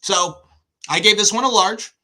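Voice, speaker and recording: A man's voice: high voice, moderate pace, bright, forceful tone. A man with a lisp hosting a film review show, talking casually and emphatically with plenty of slang and swearing.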